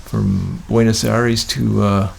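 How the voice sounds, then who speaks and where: Low, very creaky older man. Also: steady tone, slow pace, a little mellow. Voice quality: gravelly, creaky voice. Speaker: guest recounting record-collecting trips. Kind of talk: casual conversation, anecdotes.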